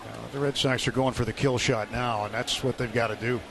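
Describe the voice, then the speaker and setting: Male radio color commentator, mid-pitched, chatty, over clear ballpark noise. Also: steady tone, fairly quick. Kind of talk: conversational live sports commentary. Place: stadium broadcast booth. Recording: loud ballpark noise behind the voice. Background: ambient stadium noise.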